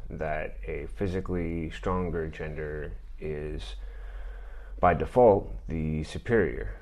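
A middle-aged man with a deep voice, hosting a podcast and talking through an argument in a measured, thoughtful way. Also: darkish tone. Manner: measured, thoughtful delivery with occasional fillers. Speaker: podcast host. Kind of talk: reading and commenting on an argument.

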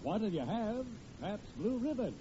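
A man's voice: sits high, very animated, unhurried. A man announcing in a scripted mid-century radio style. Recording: muffled old radio with loud hiss.

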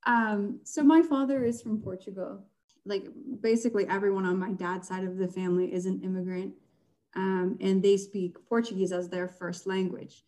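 Chatty young woman with a mellow voice. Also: medium pitch, steady tone, moderate pace. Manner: chatty, laughs often, many fillers. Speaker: guest. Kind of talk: casual interview chat.

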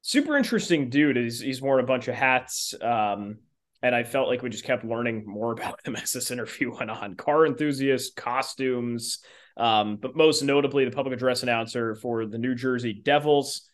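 A man's voice: mid-range voice, normal speed. A man from the United States chatting casually as a podcast co-host. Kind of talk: casual chat.

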